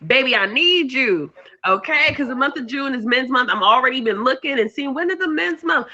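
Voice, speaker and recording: Bright, affirming woman podcast host. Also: high-pitched, expressive, a little fast, muffled recording. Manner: affirming, frequent "mm-hmm" and "I love that", repeats phrases. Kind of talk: casual interview chat.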